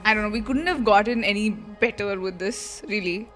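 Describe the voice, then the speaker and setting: A woman's voice: mid-range voice, normal speed, soft background music. A woman streamer giving casual, exclamatory live reaction commentary on a video game. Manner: expressive, exclamatory.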